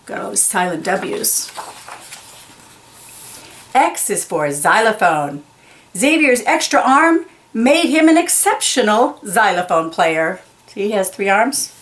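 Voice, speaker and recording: A woman's voice: mid-range voice, sing-song, fairly bright tone. A woman reading a children's picture book aloud, carefully stressing key words and explaining them simply. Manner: slow, deliberate, enunciates key words for young listeners.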